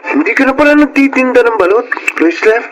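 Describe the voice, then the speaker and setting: An actor playing a sly, persuasive young friend in a Filipino radio drama, casual and coaxing, with a Filipino accent. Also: male voice, very high-pitched, lively intonation, quick pace, over music, rough recording. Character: sly, persuasive young male friend pitching easy money.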